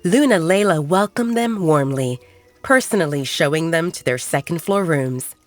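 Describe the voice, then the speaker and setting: A woman reading a romance story aloud as a calm, even narrator. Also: low voice, normal speed, no echo, faint music behind. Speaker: audiobook narrator.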